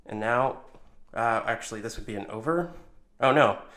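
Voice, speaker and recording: Man, tutorial presenter, casual instructional style, informal step-by-step explanations, mid-pitched voice. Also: moderate pace, a bit bright. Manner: informal, talks through each hand movement step by step. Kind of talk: casual hands-on instructional demonstration.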